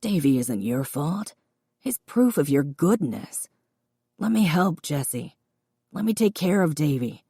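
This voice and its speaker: A woman voicing a gentle, remorseful older father in an audiobook, quiet and earnest. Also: low-pitched, very animated, slow, slightly rough, no echo, studio quality, full character voice. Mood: apologetic. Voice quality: reedy, quiet. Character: remorseful, loving father of grown children, older man. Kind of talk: audiobook character dialogue.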